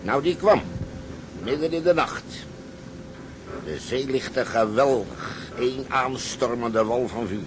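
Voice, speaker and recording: A man's voice: mid-range voice, expressive, slow, noisy background. An actor playing a hard, boastful, unrepentant seaman in a maritime drama, talking matter-of-factly. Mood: boastful, satisfied. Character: hard, callous male seaman, unrepentant adventurer. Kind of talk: dramatic seafaring acting.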